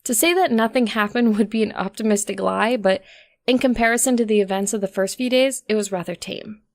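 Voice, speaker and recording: A woman reading scripted fiction aloud as an expressive story narrator. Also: fairly high pitch.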